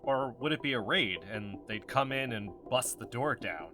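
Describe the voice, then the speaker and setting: An eager, conspiracy-minded amateur investigator, a man with a very animated delivery. It is a podcast drama, with faint music behind the voice. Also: medium pitch, moderate pace. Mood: insistent. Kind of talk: audio drama podcast dialogue.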